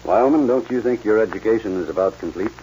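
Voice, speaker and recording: Male actor as fatherly ranch owner, deep American voice, measured, 1940s radio drama with faint hiss. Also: dark tone, natural voice. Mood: kindly, serious. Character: fatherly, approving ranch boss. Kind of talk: 1940s radio western acting. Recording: muffled, faintly noisy 1940s radio recording.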